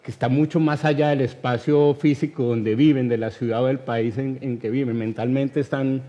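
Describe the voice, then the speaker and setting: A man in his fifties with a Colombian accent, answering thoughtfully and haltingly as an interview guest, often adding tag questions. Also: medium pitch, fairly even intonation, warm tone. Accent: Colombian, Medellín area. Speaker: guest, writer. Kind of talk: reflective conversational interview answers. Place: TV studio.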